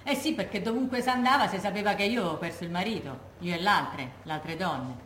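An actress playing a proud, irascible, solitary Roman war widow, giving blunt colloquial testimony in a Roman accent for the theatre. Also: female voice, fairly low pitch, a little fast, a bit bright, close to an everyday voice. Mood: resigned, wry. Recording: echoey room, faint background noise.

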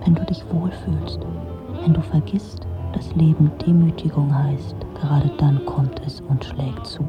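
A woman's voice: deep voice, steady tone, a little slow, dull audio, music in the background. An actress playing a disillusioned, sardonic middle-aged woman, delivering a dry, brooding literary monologue in a radio drama. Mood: bitter, despairing.

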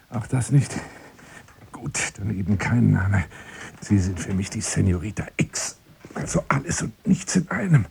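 An actor playing a lonely, boastful gentleman courting by telephone in formal, flowery German radio drama style, moving between murmurs and outbursts. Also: lowish voice, creaky, husky, full character voice. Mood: resigned, courting. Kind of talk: radio drama monologue as one side of a phone call.

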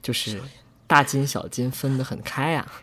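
A man's voice: mid-range voice, moderate pace, a bit bright, slight background noise. A man in a casual live chat as guest commentator, explaining things in an earnest, rambling way.

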